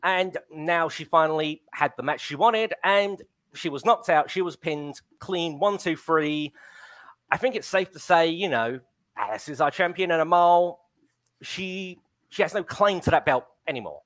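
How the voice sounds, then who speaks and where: A man in his thirties hosts a wrestling stream from home over a video call, his voice sitting fairly high. He chats casually, pausing on "um" as he reads out viewer comments through a headset mic. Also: animated, a bit bright. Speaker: wrestling show host.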